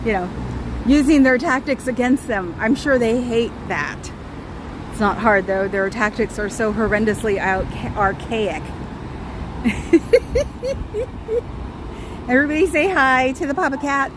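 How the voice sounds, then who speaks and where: A woman with a high, piercing voice chats through a casual livestream, rambling from thought to thought and needling her listeners with sarcastic questions. She is recorded outdoors by a street, with loud traffic and passing cars heard behind her. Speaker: livestream host.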